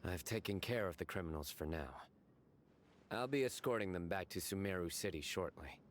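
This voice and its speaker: An actor playing a cold, stern lawman in a video game, speaking in a measured, curt, deadpan way. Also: low-pitched, a little hiss or hum. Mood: calm, formal. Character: stern, cold male law enforcer, calmly menacing.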